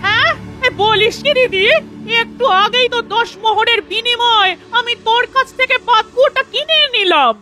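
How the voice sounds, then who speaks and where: A woman acts out a crafty old woman in a cartoon who argues stubbornly and will not give ground. Her voice sits very high. Music runs underneath. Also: moderate pace. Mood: surprised. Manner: sly, stubborn, defiant. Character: sly old woman, younger sister. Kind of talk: cartoon voice acting.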